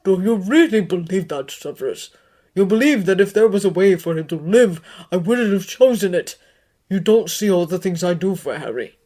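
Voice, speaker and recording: A grave old headmaster, speaking slowly and evenly with quiet firmness, as a woman narrator puts him on. The voice stays close to the reader's own low pitch, with a changed, softened tone. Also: lively intonation. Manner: measured, gently firm.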